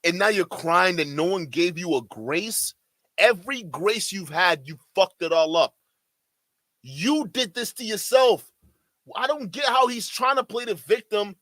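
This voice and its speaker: A man's voice: high voice, lively intonation, measured pace, a bit bright, no room sound. A man reacting live to a stream, exasperated and incredulous, talking straight to his chat. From Nigeria. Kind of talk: livestream reaction commentary.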